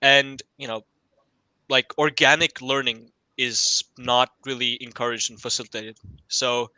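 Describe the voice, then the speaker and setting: A man's voice: medium pitch, little pitch movement, a little slow, pushed and bright, dry recording, rough recording. A young adult man, a college student on a podcast panel, answering hesitantly with many fillers and restarts.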